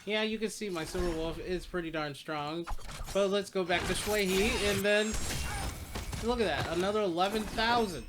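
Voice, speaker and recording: A man's voice: sits high, normal speed, background noise. A man hosting a gaming video, giving casual gameplay commentary in an informal, rambling way and correcting himself as he goes. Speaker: gaming channel host.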